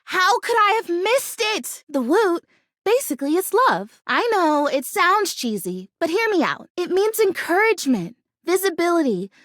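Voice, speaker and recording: Game role: an earnest, optimistic young female houseplant, extremely high voice, lively intonation, gushing and chatty. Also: unhurried, dry recording, studio quality, full character voice. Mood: earnest, eager. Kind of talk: animated video game character acting.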